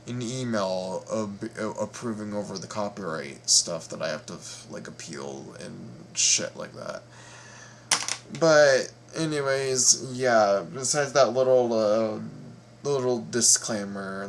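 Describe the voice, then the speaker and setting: Male reaction host, lowish voice, unhurried, halting, playful, repetitive, faint background noise. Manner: halting, repeats words, playful. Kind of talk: casual reaction commentary.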